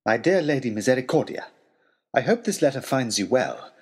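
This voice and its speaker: An earnest, wordy tutor turned adventurer in an audio drama. His voice is husky and swings widely in pitch as he tells his tale with formal eloquence and wry self-mockery. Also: sing-song, normal speed, darkish tone. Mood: formal, pleased. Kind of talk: dramatic reading of a letter, storytelling.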